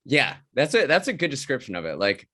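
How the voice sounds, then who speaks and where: A man's voice: medium pitch, very animated, fairly quick, pushed and bright. A man hosting a podcast, welcoming his guest and asking easygoing questions in a casual chat. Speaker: podcast host.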